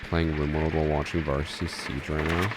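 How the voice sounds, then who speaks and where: A man streaming a shooter game, chatting calmly with his viewers and commenting on his play in an unexcited way. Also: extremely low pitch, fairly quick, background noise. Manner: calm, unexcited. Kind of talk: casual gameplay commentary with chat. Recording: game gunfire audible behind voice.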